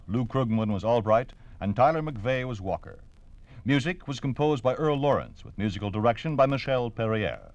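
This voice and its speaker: A man's voice: medium pitch, no room sound, lo-fi sound. Male announcer, American accent, formal and emphatic vintage radio narration, widely varied intonation. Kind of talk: 1950s scripted radio narration.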